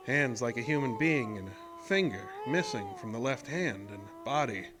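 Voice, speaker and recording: An actor playing a rough-spoken oil rig worker telling his own eerie tale in a colloquial, old-time radio horror monologue. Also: mid-range voice, expressive, moderate pace, background noise, lightly acted. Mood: frightened. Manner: colloquial, folksy, haunted storyteller.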